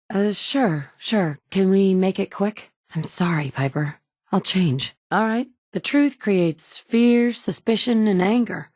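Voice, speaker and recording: A woman's voice: on the low side, darkish tone, dry recording, studio quality. An actress voicing a blunt, wry woman survivor in a video game, plain-spoken and direct, by turns tender and curt. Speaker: player character. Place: recording studio.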